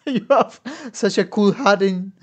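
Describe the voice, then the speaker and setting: A man's voice: sits high, slow. A young man giving informal, exclamatory reaction commentary as a video host in a home room. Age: young adult. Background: music.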